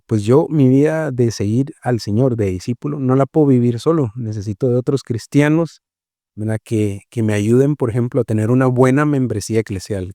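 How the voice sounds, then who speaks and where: A man's voice: on the low side, dark tone, no room sound, studio quality. A man from Guatemala, a missionary guest explaining his work in a reflective, conversational way, often checking in with "¿verdad?". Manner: reflective, explains slowly with many fillers and "¿verdad?". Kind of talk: conversational interview.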